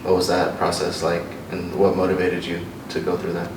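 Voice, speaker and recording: A man asks questions hesitantly, with lots of fillers, in a deep, flat voice. He sounds far from the mic in a roomy space, with clear noise behind him. It is a casual interview. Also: normal speed. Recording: distant, roomy, noisy.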